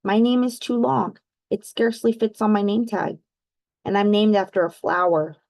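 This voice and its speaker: A woman's voice: medium pitch, fairly even intonation, normal speed, vocal fry, darkish tone, dry recording, lightly acted. Woman voicing a sensitive little storybook girl. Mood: dejected.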